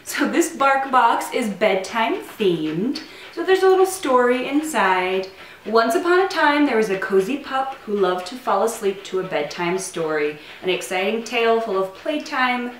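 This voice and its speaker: A woman's voice: medium pitch, animated, unhurried. A young adult woman hosting a casual home vlog, talking to camera and cooing playfully to her pets. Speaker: vlog host. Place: living room at home, indoors. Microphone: camera a few feet away. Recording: roomy, distant mic, faint noise.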